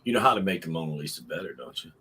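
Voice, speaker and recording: A man's voice: rumbling low, very fast, slight background noise. A middle-aged man with a Missouri accent, joking and teasing as a co-host on a casual live morning show. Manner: joking, teasing, lively back-and-forth. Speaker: regular co-host. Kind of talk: casual live-stream banter.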